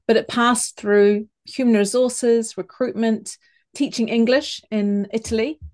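A woman with a New Zealand accent speaks in an easy mid-range voice, choosing her words with care. She talks as a guest in a relaxed podcast conversation. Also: medium pitch. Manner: thoughtful, measured, with small restarts. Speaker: communications coach, podcast guest. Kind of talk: conversational interview.

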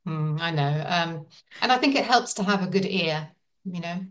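Woman co-host, fairly low voice, thoughtful chatty delivery, recorded from a bit of a distance. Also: lively intonation, moderate pace. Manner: thoughtful, many fillers and restarts. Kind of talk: casual conversation.

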